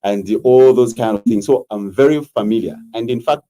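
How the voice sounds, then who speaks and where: A Zambian man with a Zambian accent, a political candidate answering interview questions in a measured, earnest way with frequent hesitations. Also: mid-range voice, warm tone.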